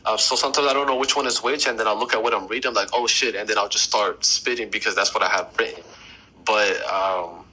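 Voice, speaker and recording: A young man in his twenties talks in an easygoing, meandering way, his voice bright and a little husky. He's on a headset video call from a small room at home. His answers run on in long relaxed stretches. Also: on the low side, fast, low-quality recording. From United States. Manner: relaxed storytelling, loose fillers. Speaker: guest podcaster and rapper. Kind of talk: casual interview chat. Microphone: headset over video call.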